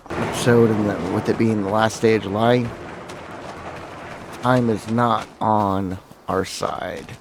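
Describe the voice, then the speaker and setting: Man narrating gameplay, faint background noise. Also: lowish voice. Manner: thinks aloud, narrating each step. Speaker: gaming video host. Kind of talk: casual gameplay commentary with roleplay.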